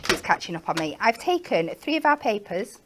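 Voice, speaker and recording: A woman with a croaky voice, chatting and joking as she demonstrates card making on a craft shopping show. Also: mid-range voice, big pitch swings, brisk, slight background noise, rough recording. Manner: chatty, playful asides while working. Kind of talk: live shopping channel craft demo.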